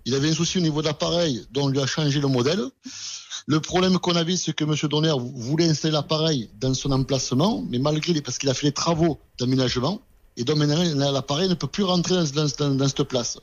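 Hurried man on a dull phone line. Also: a little high. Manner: hurried, repeating himself. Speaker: company director on the line.

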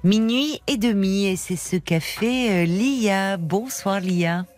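A woman hosting a late-night radio call-in show, prompting her caller with short yeses and gentle questions. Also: medium pitch, takes it slowly, faint music behind. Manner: warm, attentive, encouraging with short yeses. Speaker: radio host. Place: radio studio.

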